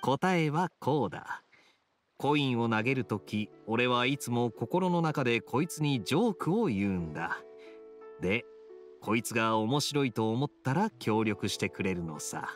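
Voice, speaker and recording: An actor voicing a suave, teasing young knight in a video game, with a smooth, playful, unhurried delivery. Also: male voice, medium pitch, lively intonation, faint music behind, lightly acted. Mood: smug, playful. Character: suave, teasing young male knight with sly gambler's wit. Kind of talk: video game dialogue acting.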